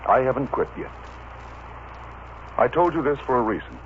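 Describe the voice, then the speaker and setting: Radio leading man, played by a male actor: impassioned, commanding, very expressive, thin noisy vintage sound. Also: mid-range voice, moderate pace, phone-quality sound, lightly acted. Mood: stern, protective. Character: ardent, protective, masterful gentleman lover. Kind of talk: old-time radio soap opera acting.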